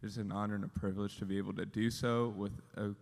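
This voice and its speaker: A man leading worship, praying quickly and earnestly before the songs. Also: lowish voice, flat delivery, gravelly, darkish tone, long reverb tail. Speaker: worship leader. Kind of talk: spoken prayer. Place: large auditorium stage. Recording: music under the voice.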